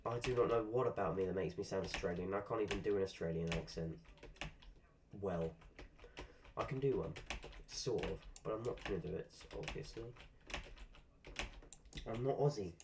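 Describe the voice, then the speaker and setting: A man's voice: sits low, moderate pace, pauses often, background noise. A man chatting casually while live-streaming a game, rambling through asides and swearing at the game now and then. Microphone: desk microphone.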